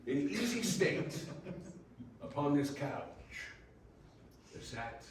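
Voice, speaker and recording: Very echoey, slow-paced male narrator. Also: fairly high pitch, big pitch swings. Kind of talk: scripted storybook narration for readers theater. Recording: very echoey room, distant mic, faint noise.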